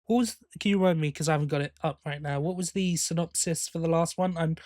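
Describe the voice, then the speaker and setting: Higher-pitched man in casual podcast chat, dry sound. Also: steady tone, quick pace, darkish tone, dry recording. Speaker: podcast co-host. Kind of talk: casual chat about audio dramas.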